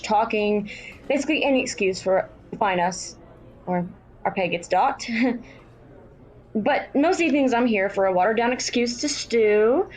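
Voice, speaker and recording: An actress playing a plucky, streetwise young Victorian match girl in a historical audio drama, brisk, slangy and teasing. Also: medium pitch, a little mellow, lightly acted, low-quality recording.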